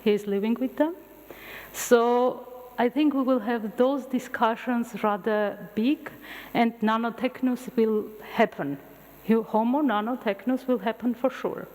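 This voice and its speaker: Armenian-native woman lecturing in a hall. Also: fairly high pitch. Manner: animated storytelling with halting restarts. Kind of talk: open university lecture. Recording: long hall reverb.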